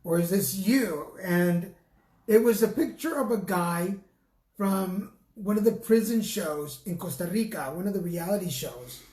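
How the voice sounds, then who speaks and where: A man co-hosting a casual talk show, laid-back and halting, often restarting his sentences. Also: high-pitched, animated, darkish tone, reverberant. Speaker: co-host. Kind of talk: casual chat.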